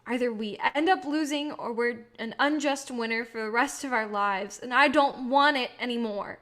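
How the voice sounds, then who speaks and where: Female, high voice. An actress playing an idealistic, protective filmmaker burdened by guilt; earnest, pleading stage drama. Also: normal speed, fairly bright tone, lightly acted. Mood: anguished, resolute. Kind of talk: staged reading of a drama play.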